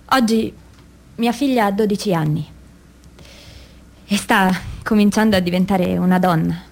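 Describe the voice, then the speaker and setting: A woman's voice: mid-range voice, a little slow, vocal fry. An actress playing an anguished mother who gives an intense, confessional testimony of past abuse in a dramatic stage monologue. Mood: grieving, resolute. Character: anguished mother recounting abuse by a priest in girlhood.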